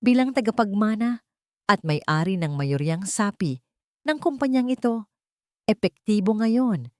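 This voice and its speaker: A woman voicing a betrayed wife and heiress in a love-story narration, calm, formal and cutting. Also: mid-range voice, measured pace, dry recording, clean studio sound, natural voice. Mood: cold authority. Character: betrayed wife and heiress, cold, regal, commanding.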